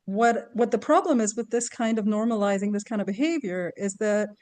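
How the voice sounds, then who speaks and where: A woman's voice: medium pitch, fairly quick, darkish tone. A woman who grew up in Kuwait, a guest educator in a casual podcast conversation, talkative and laughing often as she tells stories.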